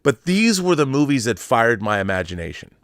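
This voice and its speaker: A man's voice: medium pitch, very animated, brisk, no room sound, clean studio sound. An American man in his fifties talking casually and with opinions as a show host, emphatic and nostalgic, repeating phrases for stress. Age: 50s. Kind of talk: opinionated casual monologue on pop culture.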